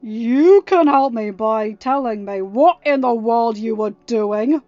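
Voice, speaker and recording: A woman's voice: on the high side, slow, no room sound, dull audio. Woman as a stern, growling, angry young king. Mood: growling anger. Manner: forceful, growling, emphatic. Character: angry protective teenage king, stern boyfriend.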